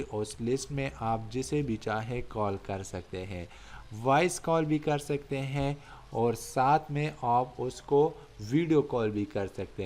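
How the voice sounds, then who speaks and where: A man's voice: medium pitch, darkish tone, slight background noise. A man hosting a tech channel, reviewing and explaining an app step by step in a repetitive way, addressing viewers as friends.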